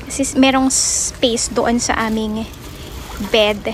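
A woman's voice: a little high, steady tone, slow, a little hiss or hum. A woman in her thirties from the Philippines, chatting casually to a phone held at arm's length, with English mixed in and plenty of "um"s. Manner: chatty, mixes English into Tagalog, frequent "so" and "um". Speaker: vlogger. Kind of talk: casual vlog narration. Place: outdoors in a snowy yard, then a car back seat, then a big store.

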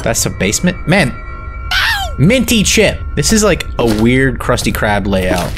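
A man's voice: medium pitch, big pitch swings, a little slow. A young man playing a horror game and reacting with jokey, exclamatory commentary into a headset mic. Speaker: gaming streamer. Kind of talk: let's play commentary. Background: game music.

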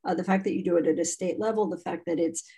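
A woman's voice: lowish voice, fairly even intonation, quick pace, vocal fry, warm tone, breathy edge. A woman speaking frankly and chattily as a guest on a video call about immersion schooling. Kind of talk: casual video-call talk.

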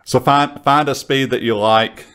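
A man presenting a casual software tutorial into a close studio mic. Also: medium pitch, fairly even intonation, measured pace, clear, resonant tone. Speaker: software trainer. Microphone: large studio condenser microphone on an arm, close.

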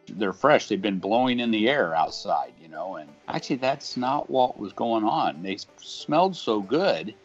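A middle-aged man in an easygoing, conversational voice-over, explaining an HVAC installation with homespun comparisons. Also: lowish voice. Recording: dull lo-fi audio with faint guitar music.

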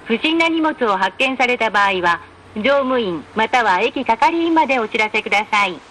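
A woman reading scripted in-car train announcements in a formal, polite, measured way with careful enunciation. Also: fairly high pitch, animated, a bit bright. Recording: noisy train announcement recording.

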